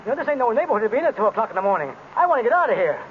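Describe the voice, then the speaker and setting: A man's voice: very high voice, big pitch swings, rapid-fire. A man playing a nervous, colloquial American cab driver in a 1930s radio drama. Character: jumpy, uneasy working-class city cab driver. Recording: tinny, noisy 1930s radio broadcast.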